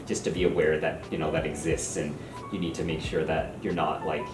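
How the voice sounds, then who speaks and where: A man with a very deep voice explains translation issues in a relaxed, explanatory way. He sits away from the mic in an echoing room, and music is clearly audible under him. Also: brisk. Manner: casual, explanatory, frequent "like". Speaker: localizer. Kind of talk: interview conversation. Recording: echoey room, distant mic, music behind.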